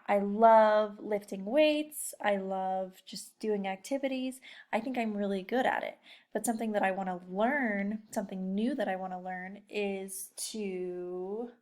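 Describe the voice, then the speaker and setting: A woman's voice: medium pitch, a little mellow. A woman teacher giving a casual lesson to young children, encouraging them and addressing them directly with questions. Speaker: elementary school teacher. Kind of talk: casual read-aloud lesson talk to young pupils.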